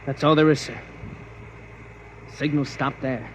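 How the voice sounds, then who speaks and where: A man's voice: mid-range voice, flat delivery, a little slow, close to an everyday voice, low-quality recording. An American actor playing an earnest, deferential spaceship crewman in a 1950s radio drama, respectful and pleading in manner. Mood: puzzled, urgent. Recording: old radio broadcast with faint noise.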